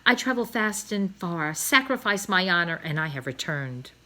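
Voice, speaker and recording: A woman's voice: lowish voice, big pitch swings, normal speed, sharp tone. A woman voicing a pompous male swan, a proud and doting father, with florid, declamatory speech in a children's storybook reading. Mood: proud, grand.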